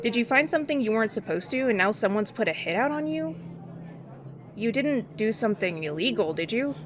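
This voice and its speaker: A woman's voice: fairly high pitch, animated, natural voice. A woman playing a curious, skeptical friend. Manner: inquisitive, quick questions, skeptical. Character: inquisitive female friend, skeptical, investigative. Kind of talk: audio drama acting. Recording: loud background noise.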